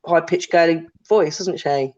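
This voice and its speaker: A woman with a deep voice co-hosting a podcast, chatting bluntly and casually, sometimes swearing. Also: unhurried, darkish tone. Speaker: podcast co-host. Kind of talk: casual chat about a paranormal case. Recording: dull lo-fi sound.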